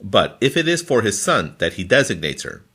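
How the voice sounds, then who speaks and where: A man reading scripture from a formal translation in a deliberate, phrase-by-phrase manner. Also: fairly low pitch, big pitch swings, sharp tone. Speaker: scripture reader.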